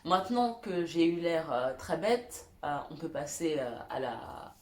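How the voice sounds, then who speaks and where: A woman's voice: low voice, sing-song, measured pace, very noisy. Young woman vlogging silly childhood stories, giggly, lots of "euh", roomy echo. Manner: self-mocking, giggly, many fillers. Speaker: YouTuber. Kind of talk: storytelling vlog.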